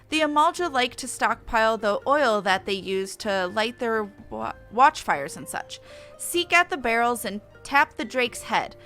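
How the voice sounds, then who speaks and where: Woman voicing a scornful, high-voiced warrior woman. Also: bright, forceful tone. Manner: haughty, commanding. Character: brusque proud female lizard-riding warrior, scornful.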